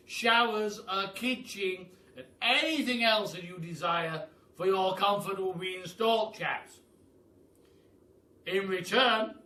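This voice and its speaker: Storybook Duke: old, pompous, generous, loud and high-pitched, in an echoey room. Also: male voice, heavily acted. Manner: pompous, emphatic, hesitating with uhs. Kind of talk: children's storybook read aloud. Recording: echoey room, distant mic.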